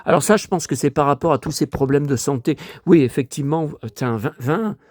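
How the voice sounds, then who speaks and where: An older man from France with a hoarse voice, grumbling and talking to himself as he shows his latest finds to viewers in a casual monologue. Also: a little high, fairly quick, darkish tone, no room sound. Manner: grumbling, exasperated, talks to himself. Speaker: vlogger showing flea-market finds.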